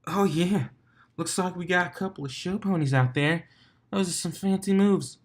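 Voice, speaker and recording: A man's voice: high voice, moderate pace, pitched above the performer's own voice, lightly acted. A man voicing a laid-back, cocky female cartoon pony DJ with a breezy, goading manner. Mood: amused, goading.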